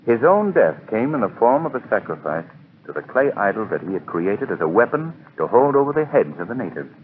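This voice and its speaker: Low-voiced, urbane radio hero. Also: male voice, very animated, a little fast. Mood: wry, composed. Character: composed, urbane male hero of a mystery serial. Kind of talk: 1940s radio acting. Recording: tinny, hissy 1940s radio recording.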